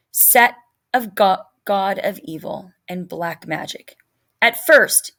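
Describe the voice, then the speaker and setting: A woman teacher narrating a children's novel as a read-aloud. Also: mid-range voice.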